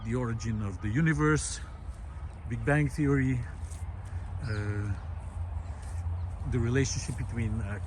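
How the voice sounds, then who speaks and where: A man, musing aloud in a walk-and-talk video. He talks reflectively and often pauses with "uh". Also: mid-range voice, background noise. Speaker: video essayist. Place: outdoor park lawn. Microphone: handheld camera while walking.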